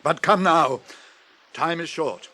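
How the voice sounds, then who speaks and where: An old, wise wizard for a radio play. His voice sits fairly high for a man, and he declaims with stately, grave authority, ready to turn wry. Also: slow, pushed and bright. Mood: urgent. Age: older. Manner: theatrical, stately, declaiming delivery. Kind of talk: 1980s radio drama acting.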